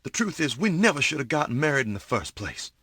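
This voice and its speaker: Husky, remorseful middle-aged judge. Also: male voice, mid-range voice, sing-song, fast talker, pushed and bright, dry recording, clean studio sound, exaggerated voice. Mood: weary, resigned. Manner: subdued, regretful. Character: remorseful, weary judge, older husband and father. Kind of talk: audio drama acting.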